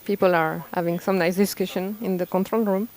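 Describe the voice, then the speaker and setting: A woman explaining science in halting live commentary from a ship's control room. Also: lowish voice, fairly even intonation, a little mellow. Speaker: scientist narrating a live dive broadcast.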